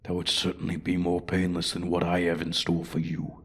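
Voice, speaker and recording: A man voicing an ancient, arrogant dragon in a fantasy ASMR roleplay, haughty and deliberate, with a breathy voice that often drops to a whisper. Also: sits low, completely flat delivery. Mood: cold threat. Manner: haughty, deliberate, coldly threatening. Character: ancient, menacing, arrogant male dragon guarding his hoard. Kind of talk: slow, theatrical fantasy ASMR roleplay monologue.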